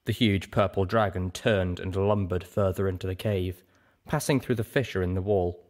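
A man's voice: low voice, normal speed, darkish tone. A man reading scripted first-person fiction as an audiobook narrator.

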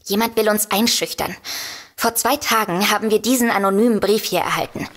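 Woman acting a composed, earnest novelist, medium pitch. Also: a little fast, fairly bright tone, close to an everyday voice. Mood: earnest, concerned. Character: composed, matter-of-fact woman novelist, worried but sensible. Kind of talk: audio drama dialogue.

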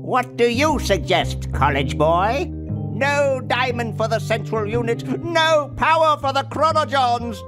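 An actor playing a cranky, melodramatic old mad scientist in a cartoon game. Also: male voice, very high voice, animated, takes it slowly, over music, heavily acted. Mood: exasperated, dramatic. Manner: melodramatic, grumbling.

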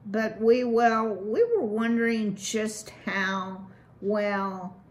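A woman's voice: mid-range voice, animated, extremely slow, voice pushed up. A woman reading a storybook, voicing a timid, polite old male rodent who hesitates and stammers. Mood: nervous.

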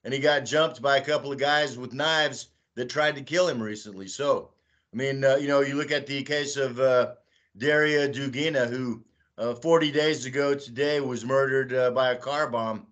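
A man in his sixties from Texas, talking as a guest on a video call from a small room at home, slow and rambling with many "uh" fillers. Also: mid-range voice, fairly even intonation, fairly bright tone. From Texas, United States. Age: 60s. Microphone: webcam on a video call.

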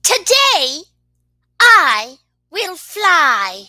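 A woman voicing an eager, stubborn little girl pig with excitable exclamations in a children's storybook read-aloud. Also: very high-pitched, extremely slow, no room sound, studio quality, full character voice. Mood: determined.

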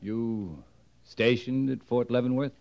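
An American man playing a dry-witted, authoritative cavalry captain in a 1950s radio western, clipped and deadpan. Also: mid-range voice, slow, gravelly. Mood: stern. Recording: dull, noisy old radio recording.

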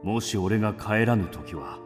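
A man's voice: lowish voice, measured pace, close to an everyday voice. An actor playing a grim, resolute man of ancient China in a radio drama, stern and commanding. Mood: solemn. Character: grim, resolute man: doomed swordsmith and mysterious avenger. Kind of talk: theatrical radio drama acting. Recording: music behind the voice.

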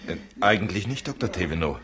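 An actor playing a deferential, learned young junior doctor in a 1960s radio crime play, speaking pedantically. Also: male voice, sits low, lively intonation, quick pace, crowd noise. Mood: earnest, scholarly. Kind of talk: 1960s German-language radio crime drama acting.

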